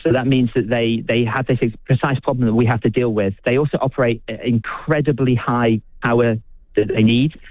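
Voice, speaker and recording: A British physicist being interviewed on the radio, speaking with enthusiasm, halting often and restarting his sentences. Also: medium pitch, fairly even intonation, dry recording. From United Kingdom. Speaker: physicist and researcher. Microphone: phone. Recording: dry tinny phone line.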